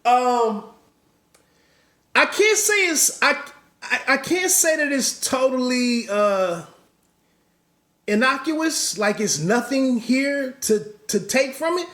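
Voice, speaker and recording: High-voiced man in a roomy echo. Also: lively intonation, slow, long pauses, a bit bright. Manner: rambling, with many restarts and asides. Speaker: boxing commentator and podcast host. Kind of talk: solo reaction commentary.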